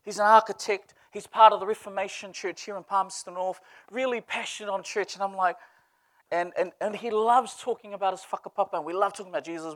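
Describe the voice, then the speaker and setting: A man from Samoa, preaching in a relaxed storytelling way, joking and laughing with his congregation. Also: high voice. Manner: joking, animated, laughs with the room. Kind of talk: casual storytelling sermon.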